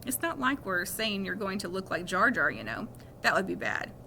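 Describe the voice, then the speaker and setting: A woman's voice: mid-range voice, sing-song, moderate pace, noisy background, pitched below the performer's own voice. A woman voicing a friendly, patient male ear doctor in a storybook, upbeat and explanatory. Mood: reassuring. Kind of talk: storybook read-aloud.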